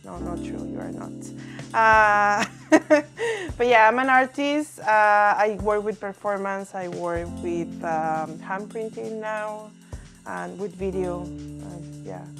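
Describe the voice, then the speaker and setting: A woman in her thirties from Mexico talks playfully in a relaxed chat, breaking into laughter as she speaks. Her voice sits at a middle pitch. Music plays clearly behind her. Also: slow pace. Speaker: artist guest. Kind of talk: casual conversation.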